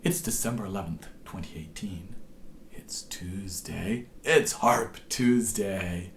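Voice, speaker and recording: A hoarse man with a cold, patiently explaining how to play a tune as a harp teacher, his voice sometimes dropping to a whisper. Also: low-pitched, takes it slowly, a little hiss or hum. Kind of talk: instructional tutorial.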